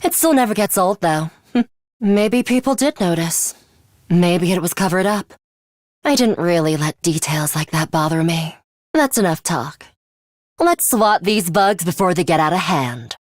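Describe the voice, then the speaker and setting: A woman's voice: on the low side, expressive, measured pace, no room sound, studio quality. A woman voicing an aloof, scornful young female fighter with a sadistic streak in a video game, curt and condescending in manner. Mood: cool, confident, then commanding. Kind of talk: English video game voice acting.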